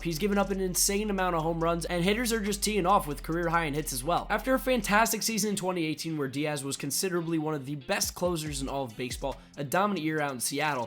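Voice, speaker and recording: A man with a slightly raspy, hoarse voice hosts a sports video, giving scripted commentary in an emphatic, punchy way. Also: fairly high pitch, a bit bright. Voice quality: a little raspy. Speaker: host of a baseball video channel. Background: background music.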